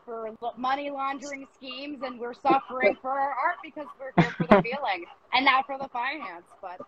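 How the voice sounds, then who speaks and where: A woman's voice: sits high, normal speed, muffled recording, a loud crowd. A woman hosting a casual arts interview, talking in a rambling, reflective and self-deprecating way. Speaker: host of an arts interview show.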